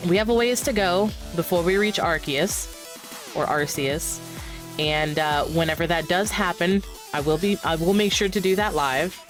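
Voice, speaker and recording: A stuffy, nasal voice with a cold, talking in a loose, rambling way full of fillers while narrating moves in a card game. Faint music plays underneath. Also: mid-range voice. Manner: rambling, many fillers, talks through moves. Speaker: streamer. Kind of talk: casual gameplay commentary.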